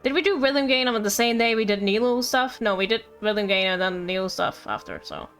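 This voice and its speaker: A woman's voice: mid-range voice, lively intonation, brisk, fairly bright tone, clear, resonant tone. A woman game streamer chatting casually and directly to her viewers during gameplay. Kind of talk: casual livestream chat over gameplay. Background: faint game music.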